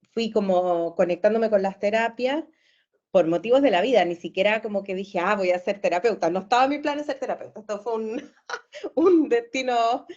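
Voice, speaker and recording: A Chilean woman in her forties, a therapist talking casually as a guest, cheerful and quick to laugh, with frequent fillers. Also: medium pitch, darkish tone, dull audio. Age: 40s. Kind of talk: casual conversation. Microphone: video call.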